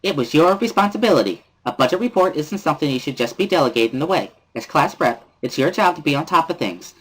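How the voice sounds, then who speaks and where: A high, loud teenage schoolgirl voice, acted by a man, bouncy and cheerful even while scolding. The delivery is emphatic and bossy, with wide swings in pitch, in an amateur visual-novel dramatic reading. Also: quick pace, breathy edge, low-quality recording. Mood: indignant.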